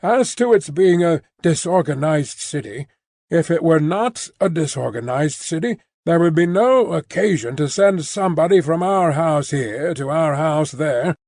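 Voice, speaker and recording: Man acting out elderly English banker, high-pitched for a man, brisk, stubborn, loyal, old-fashioned phrasing, audiobook, close dry sound. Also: lively intonation, a little mellow, no echo. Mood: cheerful confidence. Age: late seventies. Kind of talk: audiobook character dialogue.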